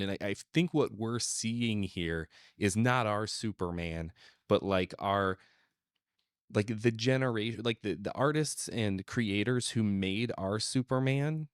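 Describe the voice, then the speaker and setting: A man co-hosting a podcast, chatting casually and haltingly as he thinks aloud. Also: lowish voice, clean tone, dry recording, studio quality. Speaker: podcast co-host. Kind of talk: casual chat.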